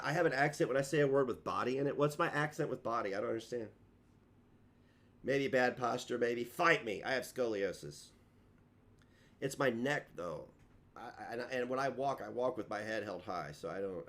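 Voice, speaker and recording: A man's voice: mid-range voice, moderate pace, fairly bright tone. A middle-aged man with a twang talks loosely to his stream in a mid-range voice. He rambles, jokes at his own expense and restarts his sentences often. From Kentucky. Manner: rambling and self-mocking, trips over his words. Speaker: livestreamer. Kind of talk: casual livestream chat.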